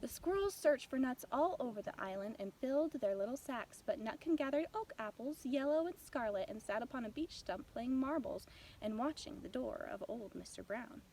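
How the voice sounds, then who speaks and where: High-voiced, very animated woman storyteller. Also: normal speed, a little hiss or hum, rough recording. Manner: expressive storytelling. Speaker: storybook narrator. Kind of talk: children's bedtime story reading.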